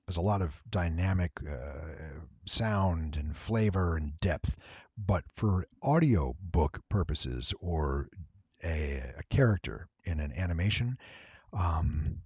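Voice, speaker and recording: A man giving a casual, rambling software walkthrough, thinking aloud and playfully addressing his viewers. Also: low-pitched, animated, slow pace, slightly rough, dry recording, lo-fi sound. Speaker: tutorial presenter and voice actor.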